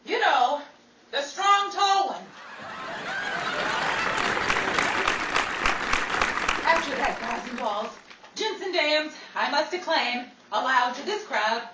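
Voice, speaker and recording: A woman's voice: sits high, animated, unhurried. A woman playing a sassy, flamboyant Broadway showgirl in a 1930s-style radio comedy, slangy and theatrical. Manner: theatrical, slangy, mock-indignant. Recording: roomy, distant, noisy recording with crowd.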